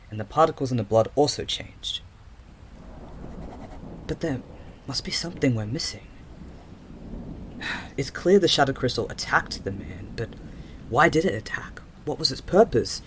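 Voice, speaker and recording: A man playing a restless, overthinking investigator with magical sight in an audio drama, muttering haltingly to himself. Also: mid-range voice, slight background noise, close to an everyday voice.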